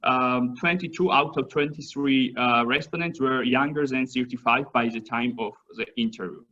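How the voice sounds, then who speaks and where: A man from Georgia, a native Georgian speaker, presents his research in English at a seminar. He pauses often with fillers and restarts words as he goes. His voice is mid-pitched and comes through dull, lo-fi audio. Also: fairly even intonation, sharp tone. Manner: halting, many fillers and restarts. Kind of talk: academic presentation.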